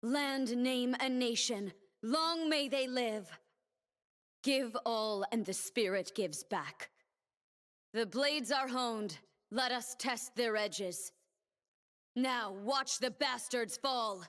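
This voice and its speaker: An actress voicing a proud, commanding warrior dancer who defends her homeland, speaking solemn, measured lines for a video game. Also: on the high side, a bit bright, big-room sound. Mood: fierce, commanding.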